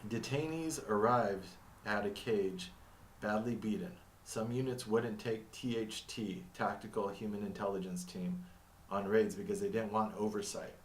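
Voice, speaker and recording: A man whose first language is Arabic, thinking aloud in a reflective monologue, halting and restarting as he reads from notes. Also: on the low side, recorded from a distance, slight background noise. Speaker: vlogger narrating his own story. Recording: echoey room, mic set back.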